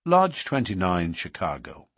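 Guy doing a cocky outlaw newcomer in an audiobook, defiant but cool, husky, pitch moves around a lot. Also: wildly swooping pitch, measured pace, a bit bright, no room sound, studio quality, heavily acted. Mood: cool, assured. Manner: self-assured, defiant, matter-of-fact. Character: cool, bold male outlaw newcomer, quick-tongued. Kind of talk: audiobook character dialogue.

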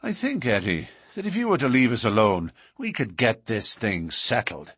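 A defiant young man from a storybook, played by a male narrator. His voice is husky and moves freely in pitch, cool and challenging with rivals and tender as a protective lover. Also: very animated, normal speed, a bit bright, no room sound, clean studio sound. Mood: bold challenge.

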